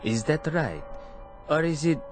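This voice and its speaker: Radio drama casting: a measured, menacing ex-prisoner, slow and quiet, lively pitch, music underneath. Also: male voice. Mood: menacing calm. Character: calm vengeful former male camp prisoner. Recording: radio play with music behind.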